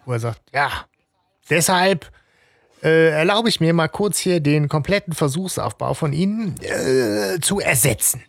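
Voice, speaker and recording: Gravelly, enthusiastic man chatting on a podcast. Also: fairly high pitch, expressive, unhurried, dry recording, studio quality. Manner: enthusiastic, hesitant with many fillers. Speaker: podcast co-host. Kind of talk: casual chat about a radio drama.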